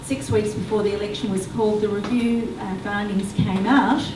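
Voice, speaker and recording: A woman's voice: medium pitch, fairly even intonation, normal speed. Woman answering at a public forum. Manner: explanatory, restarts mid-sentence. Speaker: panelist for a residents' group. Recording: echoey hall, distant mic, background noise.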